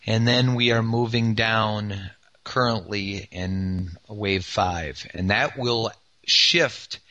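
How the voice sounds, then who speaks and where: A man with a raspy, worn voice going through market scenarios, pausing often with filler sounds. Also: on the low side, sharp tone. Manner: halting, many filler uhs. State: raspy voice after yelling. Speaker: market analyst co-host. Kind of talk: market analysis talk.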